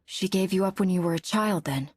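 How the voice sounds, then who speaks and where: A woman playing a composed, sceptical Jedi hero in a space-opera video game, speaking in a measured way. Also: medium pitch, husky, lightly acted. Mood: curious. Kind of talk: video game dialogue acting.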